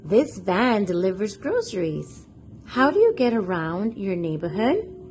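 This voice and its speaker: A woman's voice: mid-range voice, very animated, a little slow, darkish tone, soft background music. A woman hosting a children's read-aloud, reading a picture book expressively and putting questions to young listeners. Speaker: children's read-aloud storyteller and channel host.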